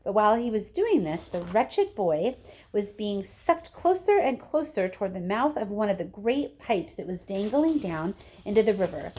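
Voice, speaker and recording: A woman narrating a children's book aloud with expressive storytelling delivery. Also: mid-range voice, normal speed, slightly rough, low-quality recording. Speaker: storybook reader.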